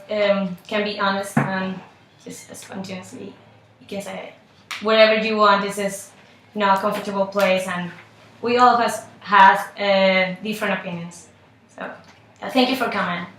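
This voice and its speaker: A woman's voice: medium pitch, little pitch movement, measured pace, fairly bright tone, a little hiss or hum. A woman co-moderating a focus group, talking hesitantly with many fillers. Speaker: market research co-moderator. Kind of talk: role-played focus group. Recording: echoey room.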